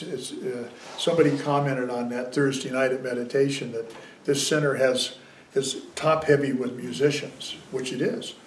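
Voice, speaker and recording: Older man giving a roomy dharma talk. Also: medium pitch. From United States. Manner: conversational and gently humorous, with hand gestures. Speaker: Zen teacher. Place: small meditation room indoors. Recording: roomy, distant sound.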